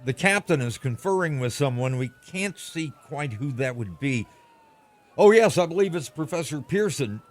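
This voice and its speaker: An actor playing a frightened radio reporter in an old-time radio drama, stammering through an eyewitness account. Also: male voice, fairly high pitch, lively intonation, normal speed, lightly acted. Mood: uneasy watchfulness. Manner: stammering, breathless eyewitness description. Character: frightened male radio reporter at alien landing site.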